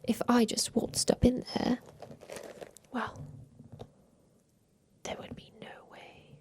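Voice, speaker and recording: A woman playing an aging, wistful inventor confined in a haunted attic, whispering softly and confidingly in an ASMR roleplay about revenge. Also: fairly high pitch, level tone. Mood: plotting, secretive. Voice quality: soft breathy whisper. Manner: slow, wistful, confiding, halting.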